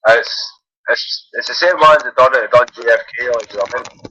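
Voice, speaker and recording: Bright-voiced Glaswegian man calling in. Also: medium pitch, brisk, dull audio, slight background noise. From Glasgow. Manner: casual, speaks in loose run-on phrases. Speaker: caller. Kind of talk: casual phone-in chat.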